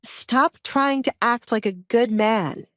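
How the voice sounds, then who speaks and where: A woman's voice: on the high side, slow pace, fairly bright tone, no room sound, clean studio sound, pitched above the performer's own voice. A woman voicing a desperate, defiant young noblewoman in an audiobook, urgent and accusing. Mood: bitter accusation.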